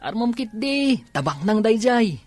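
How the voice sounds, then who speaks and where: An actor playing a teasing, nagging friend in a radio drama, with an Ilocano Filipino accent. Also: male voice, high-pitched, very animated, measured pace, darkish tone, dry recording, soft background music, full character voice. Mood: teasing, urging. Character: teasing, nagging close friend of a young driver.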